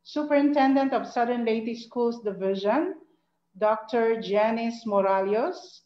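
A woman's voice: fairly high pitch, a little slow. A woman with a Filipino accent giving a formal welcome speech as an academic project leader, in a muffled, echoey recording. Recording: muffled, echoey room sound.

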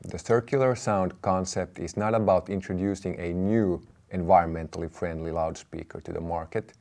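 A man in his thirties giving a measured, explanatory product presentation to camera. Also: low-pitched, steady tone, a little mellow. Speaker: presenter, company representative. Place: indoor room with brick wall, seated at a table.

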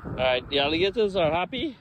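A man filming his own fishing trip by a roadside canal, giving excitable, profane running commentary into a handheld phone. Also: on the high side, quick pace, lo-fi sound. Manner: excitable, swears freely. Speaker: fishing vlogger. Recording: outdoor wind noise.

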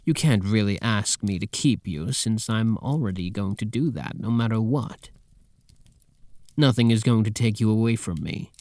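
A man's voice: fairly low pitch, no echo, studio quality. A man playing a possessive, doting, dominant master and boyfriend in an intimate, teasing ASMR roleplay. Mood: possessive, intense. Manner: teasing, soft, intimate, commanding, at times whispering.

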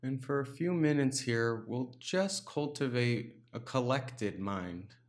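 A man leading a guided meditation, speaking calmly and without hurry, leaving pauses between short instructions. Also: mid-range voice. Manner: calm, unhurried instruction with pauses. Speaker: meditation teacher.

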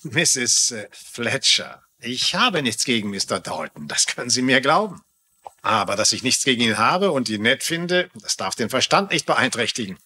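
Smug male police lieutenant, TV crime drama, sarcastic, condescending, bright forceful voice, animated delivery. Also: mid-range voice, normal speed, husky, heavily acted. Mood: casual, dismissive. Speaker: voice actor in a German crime series dub. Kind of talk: dubbed TV crime drama dialogue.

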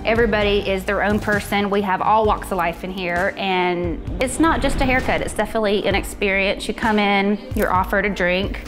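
A woman's voice: mid-range voice, steady tone, brisk, few pauses. A woman in her thirties, a barber, chatting informally and easily in a casual promotional interview. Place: brick-walled barbershop. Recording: music bed behind voice.

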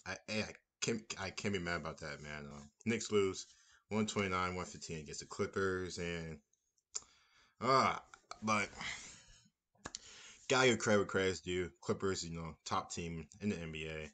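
A man's voice: sits low, normal speed. A young man giving a casual, rambling post-game reaction from a small room, repeating himself as he talks through the game. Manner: relaxed, rambling, repeats phrases. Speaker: basketball fan commentator.

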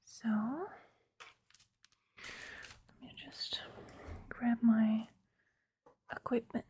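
A woman in her twenties doing a whispered ASMR roleplay, speaking close to the mic in a breathy, gentle, reassuring way. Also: a little high, completely flat delivery, very slow. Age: 20s. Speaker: ASMR roleplayer playing a surgeon. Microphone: close mic, speaking through a surgical mask.

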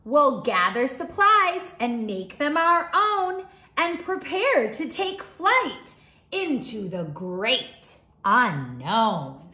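A woman teaching young children, reading a storybook aloud in an expressive, deliberate way. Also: sits high. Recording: roomy, echoing indoor sound.

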